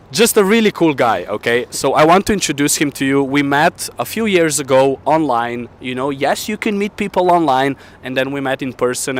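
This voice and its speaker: A man in his thirties hosting a casual outdoor interview, energetic and chatty with lots of fillers, holding a handheld mic. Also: mid-range voice. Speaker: host. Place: outdoor city square in winter. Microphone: handheld mic, camera close.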